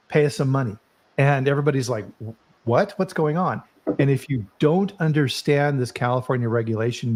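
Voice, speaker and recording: Middle-aged male host, casual, chatty, jokey. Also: medium pitch. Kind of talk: casual live webinar chat.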